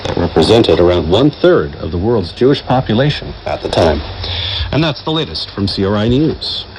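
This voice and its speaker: A male news presenter delivers a formal, scripted bulletin in a fairly low voice. His measured reading comes through a clearly noisy shortwave radio signal. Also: big pitch swings, a little mellow. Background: radio static and noise.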